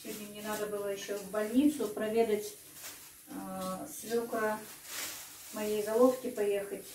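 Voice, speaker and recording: A woman's voice: mid-range voice, level tone, measured pace, warm tone. A middle-aged woman vlogging from her rural home, chatting to viewers in a rambling, homely way and calling them her friends. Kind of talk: casual chatty monologue to viewers. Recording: roomy, from a distance, faint background noise.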